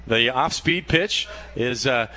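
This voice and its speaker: A man doing radio play-by-play for a baseball game, calling pitches in a steady flow that lifts when a play unfolds. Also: slow, a little hiss or hum. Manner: steady calls, rising excitement on plays. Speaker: play-by-play announcer.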